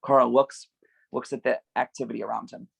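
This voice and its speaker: A man narrates screenplay directions at a table read. His voice is creaky and gravelly at a middle pitch, recorded dry and close. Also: flat delivery, moderate pace, no room sound.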